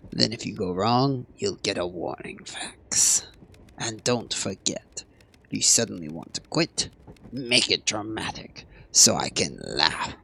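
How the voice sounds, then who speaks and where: A hoarse man voicing a sardonic veteran male bank teller who mentors a new recruit with theatrical, drawn-out mockery. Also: lowish voice, animated, pushed and bright, exaggerated voice. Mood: mocking. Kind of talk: video game character voice.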